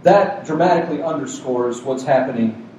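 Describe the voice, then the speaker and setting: A man's voice: medium pitch, normal speed, mellow, heavy reverb, recorded from a distance, low-quality recording. A man preaching a Sunday sermon as a pastor, with a soothing voice and a conversational, joking manner. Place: community center meeting room with a cooling unit. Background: faint hum of a cooling unit.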